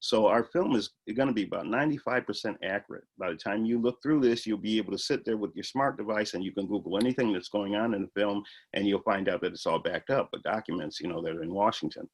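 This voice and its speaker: A man with a fairly low voice talks about his film project in an interview. He explains eagerly and earnestly, often stopping for "you know" and "uh". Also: fast, few pauses, slightly rough. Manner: earnest, enthusiastic explaining with many fillers. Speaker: filmmaker guest. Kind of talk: interview answers.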